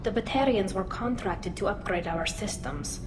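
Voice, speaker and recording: A woman's voice: medium pitch, animated, normal speed, reverberant, noisy background, natural voice. A woman playing an earnest young alien woman accused of treason, speaking formally in video game dialogue. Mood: somber, explaining.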